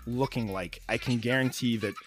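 A man's voice: medium pitch, moderate pace. A man chatting casually as a creative guest in a conversational interview. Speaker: podcast guest, audio drama creator.

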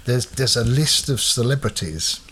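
A man's voice: mid-range voice, lively intonation, normal speed, a bit bright, slight background noise. A man hosting a casual chat, quizzing his co-host with lots of hesitant fillers.

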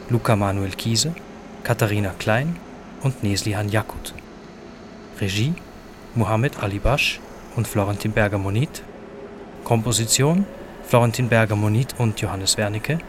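A man reading out scripted credits as an announcer. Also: lowish voice. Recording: clear ambient background noise.

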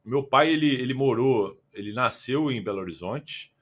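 A man's voice: medium pitch, animated, moderate pace. A young man with a Carioca accent, a guest on a remote podcast call, talking casually and rambling with many fillers and restarts. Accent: Carioca Portuguese. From Rio de Janeiro, Brazil. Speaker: podcast guest, football content creator.

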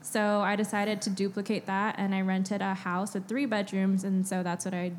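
A woman's voice: mid-range voice, little pitch movement, moderate pace, slight background noise. A woman in her thirties, a guest on a casual podcast interview, who talks in a chatty way with lots of "like" and "um" and laughs easily. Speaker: guest, business co-founder.

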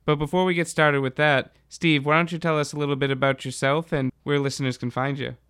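Crisp, close-sounding male podcast host. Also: on the high side, level tone, brisk, fairly bright tone, no echo. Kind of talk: conversational podcast hosting.

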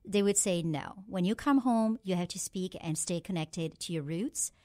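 A woman from Pakistan who grew up in France, a native Urdu speaker, telling her life story as an interview guest in an earnest, thoughtful way with frequent pauses. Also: fairly low pitch, a little mellow. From Pakistan, raised in France.

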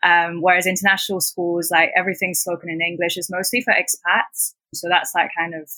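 Young woman from hong kong, cantonese first language, bright husky voice, lots of "like", chatty podcast guest. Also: on the low side, level tone, fairly quick, no room sound, poor audio. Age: 20s. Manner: chatty, many "like" fillers, laughs between points. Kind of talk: casual conversational interview.

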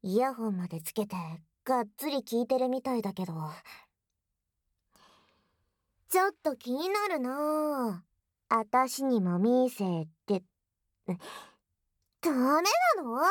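A woman's voice: fairly high pitch, sing-song, measured pace, pauses often, dry recording. A voice actress playing a young tsundere girlfriend, bashful yet teasingly bold, in an intimate ASMR audio drama on a close binaural mic. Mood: casual, curious. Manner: flustered, stammering, breathy asides dropping into whispers. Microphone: close binaural microphone, dry studio.

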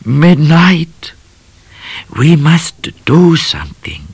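A man reading aloud, voicing a clever, soft-spoken male talking cat in a storybook. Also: a little high, wildly swooping pitch, extremely slow, breathy edge, no echo, pitched above the performer's own voice, full character voice. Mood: earnest, hushed. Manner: soft, hushed, often whispering.

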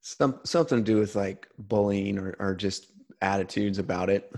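Guy with lowish voice, earnest rambling chat, lots of restarts. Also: normal speed, a little mellow. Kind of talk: casual conversation.

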